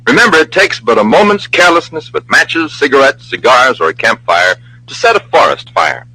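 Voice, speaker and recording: A man's voice: mid-range voice, sing-song, a little fast, bright, forceful tone, slightly rough, rough recording. A man announcing a scripted public service message in emphatic, declamatory 1940s radio style.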